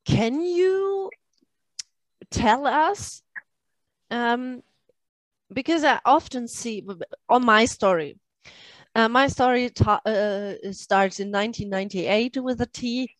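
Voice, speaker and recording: A middle-aged woman hosting a casual interview in halting, English. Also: on the high side, dry recording, rough recording. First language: German. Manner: halting phrasing in non-native English. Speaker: host interviewing a guest.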